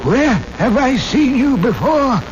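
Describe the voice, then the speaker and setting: An actor playing a frail, fervent old grandfather in a 1970s radio drama, with a halting, dramatic delivery. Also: extremely high pitch, big pitch swings, heavily acted. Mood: dazed, weary. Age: older. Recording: noisy muffled vintage radio recording.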